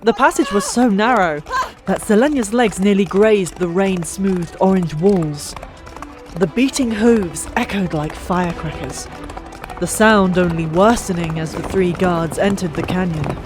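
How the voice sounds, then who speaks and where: A narrator reading a story in a dramatic, expressive way, with drawn-out pauses for emphasis. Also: medium pitch. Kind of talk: dramatic scripted audiobook narration. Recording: old mic, background music. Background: music.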